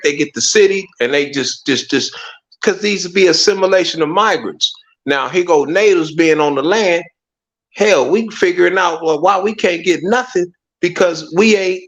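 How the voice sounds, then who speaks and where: A man talking in an emphatic, rhetorical way, with a slightly raised pitch. The audio is muffled and lo-fi. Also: fairly bright tone. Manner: emphatic, rhetorical, repeats "watch this". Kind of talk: casual conversation.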